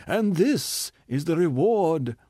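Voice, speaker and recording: Guy voicing a melodramatic bitter ghost, shouty, stretched-out words, big swoops in pitch. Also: lively intonation, dry recording, studio quality. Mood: shouting. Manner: declaiming, shouting, drawn-out words. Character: bitter theatrical male ghost, self-pitying, melodramatic. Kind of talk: audiobook character reading.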